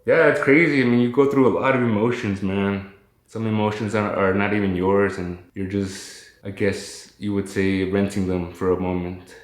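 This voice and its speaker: Low-voiced man in an echoey space. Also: fairly even intonation, moderate pace. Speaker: phone guest recounting his illness. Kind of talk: casual chat with slang.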